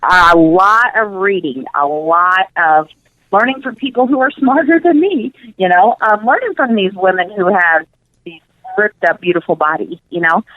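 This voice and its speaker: A woman's voice: mid-range voice, lively intonation, normal speed, no room sound, lo-fi sound. A woman speaking as a guest in a casual radio interview, earnest and emphatic, repeating words for emphasis. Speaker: interview guest, nutrition coach.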